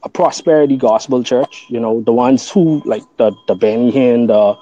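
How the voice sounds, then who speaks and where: A man from the Bahamas talks through his beliefs in a relaxed podcast chat, earnest and thorough, circling back and restarting as he thinks. His voice is dark and mellow. The recording is a little dull and lo-fi. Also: medium pitch, normal speed. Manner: earnest, explains at length with restarts. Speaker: guest. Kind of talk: casual podcast conversation.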